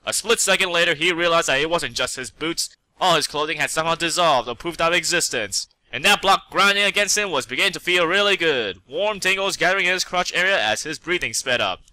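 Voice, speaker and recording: A man's voice: fairly high pitch, animated, a little fast, hardly any pauses, pushed and bright, dry recording, poor audio. A man reading fan fiction aloud as a storyteller, with dramatic narration.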